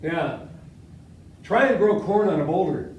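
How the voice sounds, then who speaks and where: An older man, a history professor born in Latvia with Latvian as his first language, giving a classroom lecture and quizzing his students, talking with lively gestures. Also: high voice, measured pace. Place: seminar room with whiteboard. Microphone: far camera. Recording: echoey classroom from far off.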